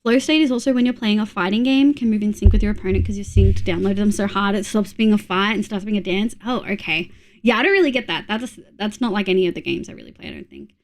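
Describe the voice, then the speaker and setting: A woman's voice: medium pitch, animated, quick pace. Congested woman streamer. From Australia. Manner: chatty, supportive, thinks aloud with fillers. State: congested from a cold. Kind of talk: casual chat reading viewer messages.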